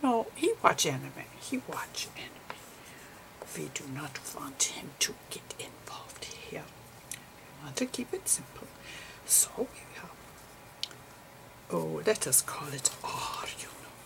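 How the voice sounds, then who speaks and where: A woman voicing an eccentric, patient male foreign physicist in an ASMR roleplay, whispery, with halting non-native grammar. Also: low-pitched, sing-song, exaggerated voice, low-quality recording. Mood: playful, then patient explaining. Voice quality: whispery, breathy.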